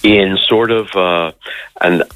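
A man's voice: sits low, fairly even intonation, slow pace, fairly bright tone, dry recording, low-quality recording. A middle-aged American man from Connecticut, a guest on a phone-in radio interview, talking hesitantly with many ums and restarts. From Connecticut, United States. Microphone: phone line.

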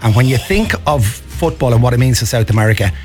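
A man's voice: mid-range voice, expressive, fast talker, soft background music. A man with an Irish accent, a radio guest and presenter, telling travel stories with eager, vivid enthusiasm. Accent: Irish, from Navan. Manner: enthusiastic storyteller, mixes in Irish and Portuguese phrases. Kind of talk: casual radio interview. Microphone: studio microphone.

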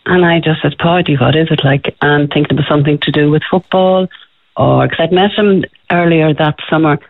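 A woman's voice: deep voice, a little fast, dry recording. Irish woman telling a story over a tinny phone line. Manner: breathless, excitable, addresses host by name. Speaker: guest, former television presenter. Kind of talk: animated storytelling in a radio interview. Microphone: telephone.

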